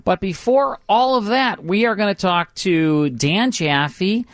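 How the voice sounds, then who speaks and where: A man's voice: high voice, slow pace, fairly bright tone, no room sound, clean studio sound. A man hosting a live radio show in an upbeat, conversational, chatty way. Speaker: radio host.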